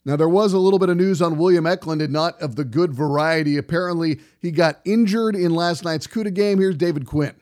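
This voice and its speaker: Casting: man with a higher-pitched voice, pregame sports host, wordy and fluent on air. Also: fairly quick, few pauses, darkish tone. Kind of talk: pregame show hosting and commentary.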